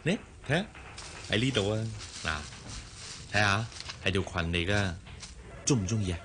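An actor with a Hong Kong Cantonese accent playing a gentle, coaxing father and boss in a radio drama. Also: fairly low pitch, animated, unhurried, slight background noise. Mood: friendly, persuasive. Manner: soft, coaxing. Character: gentle, persuasive male boss and young father.

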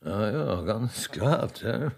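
A man playing a lecherous, flattering aristocrat with an Austrian accent and an oily, repetitive manner in a radio play. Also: fairly low pitch, voice pushed down, full character voice. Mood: amused. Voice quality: gravelly, husky. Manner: oily, chuckling, repeating himself. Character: lecherous, flattering aristocratic gentleman courting a young girl.

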